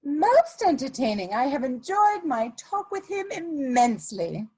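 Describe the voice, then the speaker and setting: A woman acts out an elegant society schemer in a period stage drama. Her voice is high and swoops expressively, with deliberate, imperious phrasing that stays coolly ruthless. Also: big pitch swings, darkish tone, rough recording. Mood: smug, gracious.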